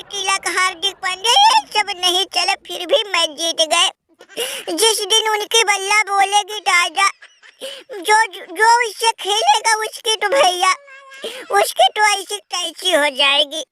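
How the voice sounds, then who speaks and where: A man voicing a sobbing, beaten cricketer in a comic cartoon, with a squeaky, sped-up voice, wailing melodramatically. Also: sharp tone, a little hiss or hum, full character voice. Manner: melodramatic wailing, crying while talking.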